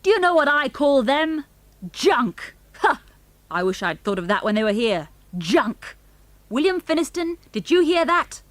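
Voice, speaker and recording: Woman playing a feisty, excitable old great-grandad. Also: high-pitched, measured pace, no echo, pitched above the performer's own voice. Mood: gleeful. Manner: exclamatory, gleefully defiant.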